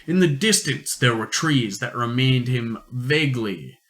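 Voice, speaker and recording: A man narrating an amateur science-fiction radio play in deliberate storytelling phrases. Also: medium pitch, animated, fairly bright tone.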